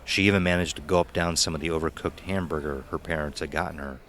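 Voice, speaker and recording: A man narrating a scripted horror story in a measured, dramatic, suspenseful way. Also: extremely low pitch, fairly even intonation, a bit bright, a little hiss or hum.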